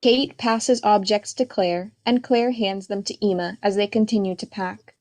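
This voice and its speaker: Mid-pitched woman reading stage directions. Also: fairly even intonation, normal speed, darkish tone. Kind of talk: scripted narration.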